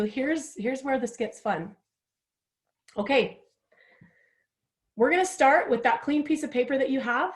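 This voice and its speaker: A woman of forty with a chatty, candid manner, guiding listeners through a writing exercise and laughing at herself as she goes. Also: sits high. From Utah, United States. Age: 40s. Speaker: workshop host. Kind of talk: guided writing workshop.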